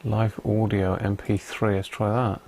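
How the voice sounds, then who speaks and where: A man's voice: lowish voice, level tone, a little slow, a little mellow. A British man hosting a casual livestream, talking informally to viewers and thinking aloud with frequent tag questions. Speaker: livestream host.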